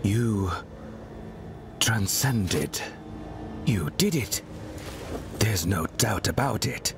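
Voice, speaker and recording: An actor playing a grave, knowing hooded mentor in a fantasy game, speaking formally and deliberately. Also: male voice, fairly low pitch, expressive, vocal fry, exaggerated voice. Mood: impressed, measured. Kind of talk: dramatic fantasy game dialogue. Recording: noisy ambient game soundscape.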